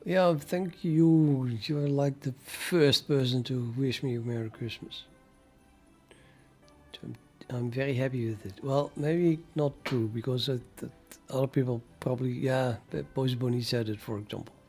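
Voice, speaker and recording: An older man from the Netherlands with a Dutch accent, talking casually and haltingly to his livestream chat while he codes. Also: mid-range voice, steady tone, darkish tone, breathy edge, soft background music. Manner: musing, hesitant, halting with many fillers, chuckles to himself. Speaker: programming livestreamer.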